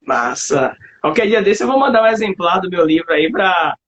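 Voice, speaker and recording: A man's voice: high voice, expressive, moderate pace, fairly bright tone. A man from Salvador with a Bahian accent hosting a casual, colloquial live chat online. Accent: Bahian Brazilian Portuguese. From Salvador, Bahia, Brazil. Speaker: host, literature teacher. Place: online video live, remote call. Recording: dull lo-fi livestream audio.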